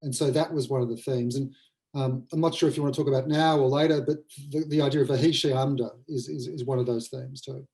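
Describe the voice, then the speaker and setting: A man's voice: medium pitch, fairly even intonation, fast talker, mellow. A man from Australia talking reflectively and modestly as a guest playwright in a panel discussion.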